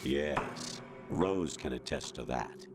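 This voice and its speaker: An actor playing a cold, calculating artificial intelligence posing as a colonel in a video game, speaking in a measured, authoritative way. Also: male voice, very deep voice, music in the background. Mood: clinical, smug. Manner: measured, authoritative, clinical explaining with smug menace. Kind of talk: dramatic video game voice acting.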